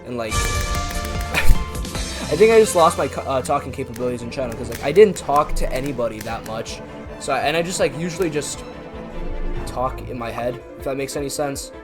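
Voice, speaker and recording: A young man from Canada talks casually as a YouTuber over a game, his voice sitting at an ordinary middle pitch for a man. He rambles and loads his speech with fillers, and he keeps making self-deprecating asides. Music plays clearly underneath him. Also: expressive, normal speed, pauses often. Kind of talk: casual chat over gameplay. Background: background music.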